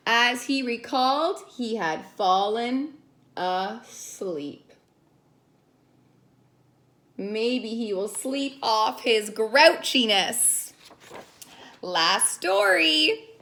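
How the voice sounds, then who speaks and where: A woman reading stories aloud to young children in an expressive, sing-song way, prompting them as she goes. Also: on the high side. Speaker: children's story reader and caregiver.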